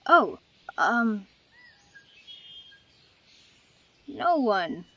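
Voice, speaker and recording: A young dragon girl, acted by a woman with a highish voice, proud and hurt. She speaks in a dry, guarded, sarcastic way, as in an animated fantasy drama. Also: very slow, lo-fi sound, noisy background, exaggerated voice. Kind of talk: dubbed fantasy drama acting.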